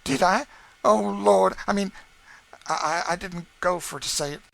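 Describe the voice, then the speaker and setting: A man's voice: high voice, moderate pace, gravelly, voice pushed up. A man voicing a sly, cheerful young stable hand in an audiobook, with a broad rural dialect and comic exclamations. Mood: sly, exclaiming. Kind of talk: audiobook character voice.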